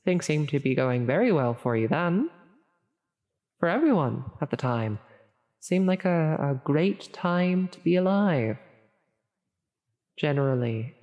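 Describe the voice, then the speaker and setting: A woman's voice: deep voice, a little mellow, heavily acted. A woman playing a flustered, well-meaning female demon tempter in an ASMR audio roleplay, hesitant and stammering as she coaxes. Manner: hesitant, halting, stammering, coaxing. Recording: long reverberant echo on the voice.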